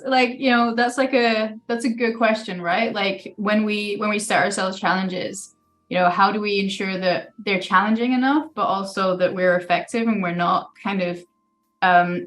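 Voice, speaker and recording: A woman's voice: medium pitch, steady tone, moderate pace, a bit bright, soft background music. A woman hosting a podcast, interviewing a guest with curious follow-up questions. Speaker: podcast host.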